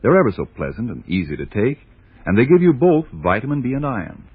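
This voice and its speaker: A man announcing in scripted 1940s radio style, with deliberate, emphatic salesmanship. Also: medium pitch, huge pitch swings, breathy edge, no room sound. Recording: tinny, band-limited old radio recording.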